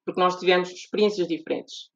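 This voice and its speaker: A woman's voice: fairly low pitch, quick pace, gravelly, a bit bright. A Portuguese woman with a European Portuguese accent, a guest author explaining her views earnestly in a podcast interview, often restarting her sentences. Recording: dull lo-fi audio.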